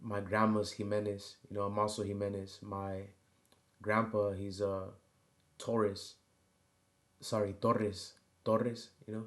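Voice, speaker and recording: A man who grew up in the United States, talking in a rambling, halting personal monologue and filling his sentences with "you know" and "right?". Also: on the low side, level tone. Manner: halting, filler-heavy, keeps adding "you know" and "right?". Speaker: vlogger.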